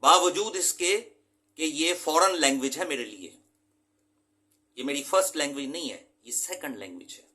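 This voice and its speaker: A man's voice: on the high side, lively intonation, normal speed, sharp tone. A man from Pakistan, an English teacher, giving an earnest, emphatic lecture to his students and mixing English terms into his talk.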